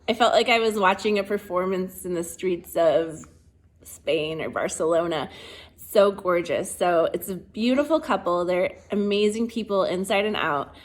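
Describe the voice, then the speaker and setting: A woman hosting an informal show, introducing performers and asking them questions in a casual way. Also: fairly low pitch. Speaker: show host. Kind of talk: casual spoken introduction and interview.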